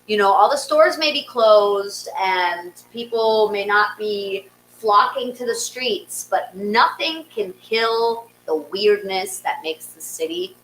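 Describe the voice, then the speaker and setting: Female comedy host, animated and conversational, very bright voice in an echoey room. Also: a little high. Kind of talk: casual comic storytelling and hosting. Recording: roomy echo, faint noise.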